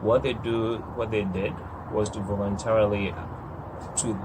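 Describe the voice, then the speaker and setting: Low-voiced man from ghana, forties, thoughtful and halting, lots of outdoor noise and planes. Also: little pitch movement. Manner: reflective and hesitant, with frequent pauses and ums. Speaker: interviewee. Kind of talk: interview, personal story. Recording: outdoor noise with passing airplanes.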